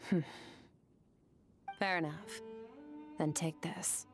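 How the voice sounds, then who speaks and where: Stoic warrior woman for a game: lowish pitch, aloof, deadpan, measured. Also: soft background music. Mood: curt. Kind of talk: video game voice acting.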